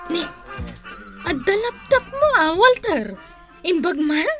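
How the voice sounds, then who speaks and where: A practical young office co-worker in a radio drama. Her voice is high and sharp, with the nagging edge of someone fed up and ready to scold. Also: very animated, takes it slowly, faint music behind, exaggerated voice. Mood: friendly, teasing. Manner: nagging, exasperated.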